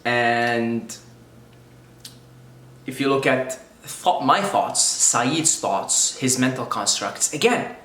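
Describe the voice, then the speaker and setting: A man's voice: medium pitch, lively intonation, slow, fairly bright tone, roomy sound. A man from Syria whose first language is Arabic, thinking aloud in a reflective spiritual monologue, repeating his phrases as he goes. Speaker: video creator speaking on spirituality.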